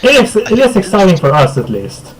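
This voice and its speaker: A man's voice: high voice, huge pitch swings, brisk, a crowd in the background, low-quality recording. Laid-back Swedish man hosting a stream. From Sweden. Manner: laid-back, chuckles often, trails off with fillers. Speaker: podcast host. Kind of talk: casual streamed chat.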